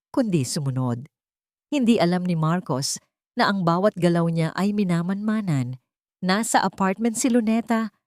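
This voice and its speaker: Woman narrating a dramatic story, lower-pitched. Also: a little mellow, no room sound, clean studio sound.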